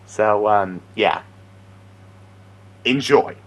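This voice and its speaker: A man's voice: fairly low pitch, extremely slow, a bit bright. A man chatting casually and informally to viewers as an amateur impressionist. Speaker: amateur voice impressionist, video creator.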